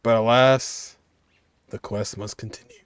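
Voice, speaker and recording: A man's voice: fairly low pitch, steady tone, measured pace, smooth, no echo. A man giving casual, slangy playthrough commentary, full of joking asides and mock resignation. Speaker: gaming streamer.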